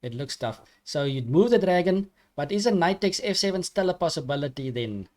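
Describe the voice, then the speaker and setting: A man from Africa, co-commentating a live online chess match, hesitant with many pauses, reading questions from the chat. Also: on the high side, animated, darkish tone. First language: Afrikaans. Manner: hesitant, many ums, reads chat aloud.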